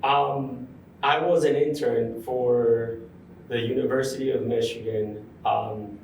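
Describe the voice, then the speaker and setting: Brief: young man, interview guest, halting and thoughtful, distant mic in a roomy space with faint ambience. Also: mid-range voice, fairly even intonation, creaky, darkish tone, highs cut off, slight background noise. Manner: thoughtful, frequent ums, restarts. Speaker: construction project superintendent, guest. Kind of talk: casual interview conversation. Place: large room at a university center.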